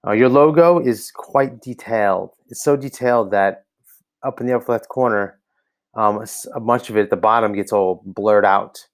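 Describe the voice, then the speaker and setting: A man's voice: on the low side, moderate pace. An American man giving casual spoken feedback on a website, hesitant and full of ums and restarts, recorded on a laptop camera indoors. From United States. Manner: hesitant, many ums and restarts, thinking aloud. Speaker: website reviewer for coaches. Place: indoor room, seen on camera.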